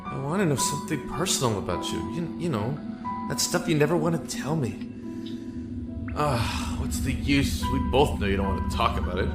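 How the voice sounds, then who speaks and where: An actor playing a stubborn, lovesick young prisoner in an audio drama, earnest and pleading, with a stammer at times. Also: male voice, medium pitch, expressive, normal speed, lightly acted. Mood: weary. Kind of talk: audio drama acting with reflective narration. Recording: roomy echo with music underneath.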